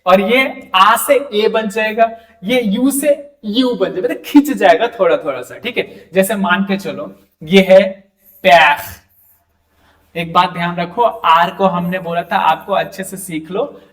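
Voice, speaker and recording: A man's voice: sits high, unhurried, a bit bright. A man with an Indian accent giving a French lesson to students. He keeps asking them questions and has them repeat words back to him. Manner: talks to students directly and asks them to repeat after him. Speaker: French teacher. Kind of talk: classroom lecture.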